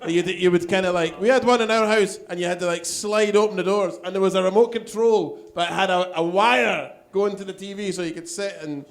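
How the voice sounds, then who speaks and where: A man's voice: high voice, brisk, hardly any pauses. Wry man doing stand-up. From Scotland. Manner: dry, storytelling, wry asides to the crowd. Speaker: stand-up comic. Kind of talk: stand-up set. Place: small bar venue.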